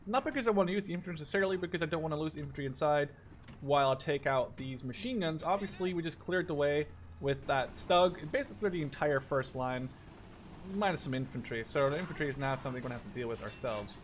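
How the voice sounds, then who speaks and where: A young man in his twenties with a slightly high voice talks through a video game. He rambles casually, thinking aloud as he goes and swearing offhandedly. There is some faint noise behind his voice. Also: quick pace. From Netherlands. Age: 20s. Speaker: gaming YouTuber. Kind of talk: casual let's-play commentary.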